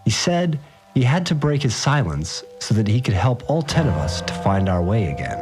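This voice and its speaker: An actor playing an awed, rough-spoken working man who recounts strange events in a slangy, rambling audio diary for a fiction podcast. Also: medium pitch, big pitch swings, normal speed, a little mellow, faint music behind, lightly acted. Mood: awed, stirred. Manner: slangy, rambling, unsure of his words. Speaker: fixer, a manual worker.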